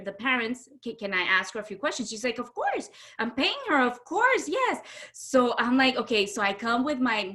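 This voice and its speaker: A woman's voice: mid-range voice, sharp tone. A middle-aged woman from Honduras speaking as an interview guest, telling stories conversationally and emphatically, acting out what people say. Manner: emphatic, acts out quoted speech. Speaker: interview guest, homeschooling mother. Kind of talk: animated conversational storytelling.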